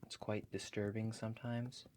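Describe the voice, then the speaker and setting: A man playing a calm, attentive relaxation agent in a soft-spoken ASMR roleplay, talking slowly and gently, with reassuring instructions. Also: on the low side, a little hiss or hum, close to an everyday voice. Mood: calmly explaining. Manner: slow, gentle, reassuring, instructive, often checking in. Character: calm, attentive male house-call relaxation agent guiding breathing.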